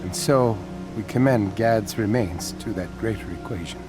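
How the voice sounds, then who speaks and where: A man's voice: fairly low pitch, moderate pace, lightly acted. A man playing a stoic scholar in a fantasy video game, speaking formally and precisely with a dry delivery. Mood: solemn. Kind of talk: video game cutscene acting. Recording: voice over loud cinematic music.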